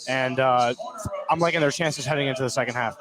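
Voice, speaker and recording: A man's voice: medium pitch, fairly quick, a crowd in the background. A man giving casual sports analysis as a color commentator.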